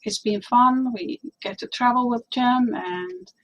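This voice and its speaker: A woman with a Finnish accent chats casually with her co-host on a livestream. Her voice sits a little high, and she speaks with hesitation and frequent fillers. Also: unhurried, a bit bright, highs cut off. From Finland.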